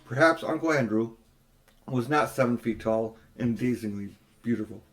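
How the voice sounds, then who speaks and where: A man's voice: medium pitch, normal speed. Older man reading a storybook. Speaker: audiobook narrator. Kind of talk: reading a novel aloud.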